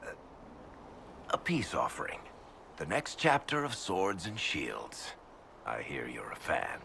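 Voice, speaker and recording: A gravelly, teasing dwarf author. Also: male voice, a bass voice, wildly swooping pitch, takes it slowly, noisy background, heavily acted. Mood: playful. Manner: teasing, mock-humble. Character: wry male dwarf author, teasing and boastful. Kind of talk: video game voice acting.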